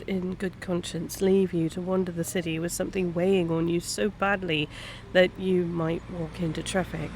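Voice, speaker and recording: A woman acts out a caring female private eye in a one-sided audio drama. She has a mid-pitched voice and a gentle, questioning, lightly playful way of talking. Clear background ambience runs behind her. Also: slight background noise, natural voice. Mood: gentle, concerned. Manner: soft-hearted, hesitant, questioning, playful asides. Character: kind, concerned, gently teasing female private eye stranger. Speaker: performer of an audio roleplay. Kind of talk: intimate one-sided audio roleplay acting, conversational.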